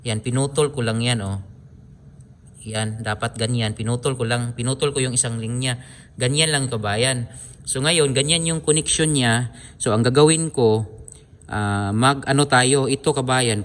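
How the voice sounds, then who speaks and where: Casting: Filipino man, mid-pitched, informal how-to presenter, repetitive step-by-step delivery, some noise behind him. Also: a bit bright. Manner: repetitive, step-by-step explaining with frequent pointing phrases. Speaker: DIY electronics tutorial host. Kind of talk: informal hands-on wiring demonstration.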